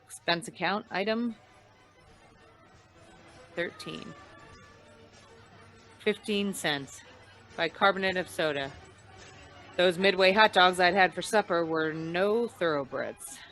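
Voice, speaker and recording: Wry noir insurance investigator played by a woman, sharp punchy tone, slangy old-time radio narration, light music behind. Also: on the low side, takes it slowly, long pauses, rough recording. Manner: wry, slangy, hard-boiled. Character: wry, hard-boiled freelance insurance investigator.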